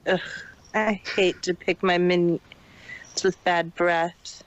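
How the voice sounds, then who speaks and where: A man's voice: high-pitched, level tone, slow, a bit bright, highs cut off, pitched above the performer's own voice. A man voicing a cold, scheming female unicorn pony villain who talks in a detached, condescending way. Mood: smug, scheming.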